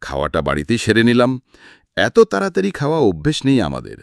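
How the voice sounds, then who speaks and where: A man's voice: medium pitch, wildly swooping pitch, brisk, vocal fry, dry recording, clean studio sound. A man narrating a detective audiobook expressively, acting out the dialogue as storyteller.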